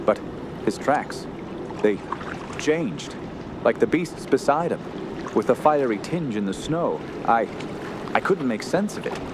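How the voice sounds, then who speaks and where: An actor playing an earnest, shaken young woodsman who tells his story in a radio horror drama, halting and solemn. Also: medium pitch, lightly acted. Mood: shaken, insistent. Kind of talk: radio horror narration and acting. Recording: loud steady outdoor background noise.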